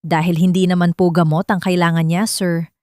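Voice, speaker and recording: A woman voicing a humble housemaid in an audiobook drama, soft-spoken and respectful but quietly brave. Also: lowish voice, flat delivery, fairly quick, no echo, studio quality, lightly acted. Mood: gentle, earnest.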